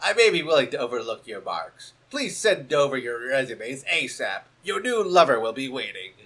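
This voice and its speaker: A man's voice: mid-range voice, sing-song, normal speed, fairly bright tone, husky. A man voicing a smug, pompous young bird student who acts self-important.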